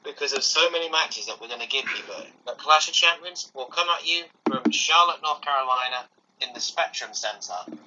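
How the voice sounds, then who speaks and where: A man's voice: medium pitch, a little fast. A man co-hosting a wrestling talk show, chatting with frequent restarts. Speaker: podcast co-host. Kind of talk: casual wrestling chat. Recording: dull lo-fi recording with faint hiss.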